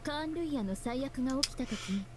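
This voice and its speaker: An actress playing a poised, knowing librarian in an anime game, composed and faintly teasing. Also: female voice, high-pitched, lively intonation, rapid-fire. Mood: grave, explaining. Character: poised, knowing adult woman librarian of fantasy city. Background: loud game music.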